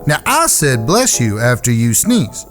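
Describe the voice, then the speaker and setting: A man's voice: medium pitch, wildly swooping pitch, unhurried, fairly bright tone, soft background music. A man playing a touchy, reckless outlaw with a folksy drawl and mock-courteous wordiness in a comedic western audio drama. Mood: indignant politeness. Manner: mock-courteous, wordy, indignant.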